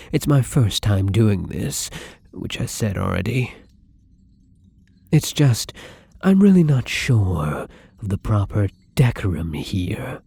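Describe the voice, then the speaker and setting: Casting: male actor for a timid, courteous new vampire in ASMR roleplay; very breathy, dark-toned, unhurried, halting. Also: lowish voice, no echo, heavily acted. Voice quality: breathy, often slipping into whispers. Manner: hesitant, halting, polite, soft-spoken. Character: shy, gentle, newly turned male vampire, apologetic and hungry. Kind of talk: intimate ASMR roleplay acting.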